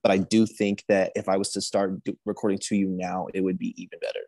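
Soft-spoken man with a very dry sound. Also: low-pitched, level tone, fast talker, no echo. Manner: soft-spoken, self-deprecating, lots of fillers. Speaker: singer guest. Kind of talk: casual podcast conversation.

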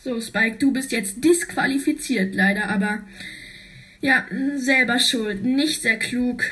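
A woman voicing a brisk schoolteacher who runs a class election in a storybook tale. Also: fairly high pitch, a bit bright. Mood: stern. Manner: brisk, matter-of-fact.

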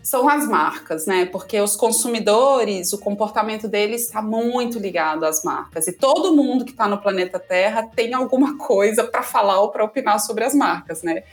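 A woman's voice: medium pitch, moderate pace, hardly any pauses, faint music behind. A woman co-hosting a podcast, talking in a chatty way and often closing phrases with a tag question. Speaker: podcast co-host. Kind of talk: casual podcast chat.

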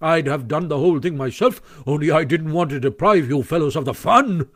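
A man voicing a gruff, blunt older badger in a storybook, speaking emphatically and insistently with rustic grammar. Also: a little high, a little fast, fairly bright tone. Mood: confident, blunt.

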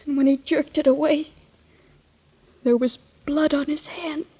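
High-voiced frightened young lady. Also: fairly even intonation, mellow. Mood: fearful. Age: young adult. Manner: hesitant, halting. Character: frightened young lady, fragile but brave. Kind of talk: old radio thriller acting.

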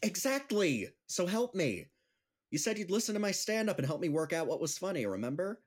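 A man's voice: sits high, very animated, a little fast, husky, full character voice. A man playing an upbeat, chatty friend and amateur comic in an audio drama, with a playful, teasing manner.

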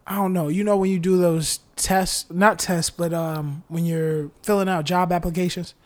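A man's voice: sits high, flat delivery, normal speed. A man chatting casually as a podcast co-host, slangy and repeating phrases for emphasis. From New York, United States.

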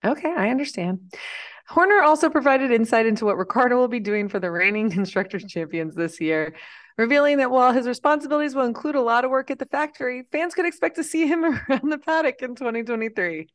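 A woman's voice: a little high, fast talker. A woman co-hosting a casual podcast, reading news items aloud and joking about them. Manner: reads news aloud, then jokes about it. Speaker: podcast co-host.

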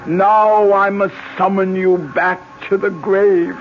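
An actress playing a commanding older voodoo sorceress, solemn, incantatory and ominous, in an old radio drama. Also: female voice, medium pitch, level tone, slow, background noise, lightly acted. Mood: incantatory, pleading. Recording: dull old radio recording with music.

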